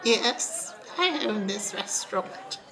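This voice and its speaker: A woman in an improvised comedy radio play, playing a creepy, grieving old restaurant owner with halting, melodramatic delivery. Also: medium pitch, expressive, crowd noise, rough recording. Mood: wistful, startled. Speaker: improv actor.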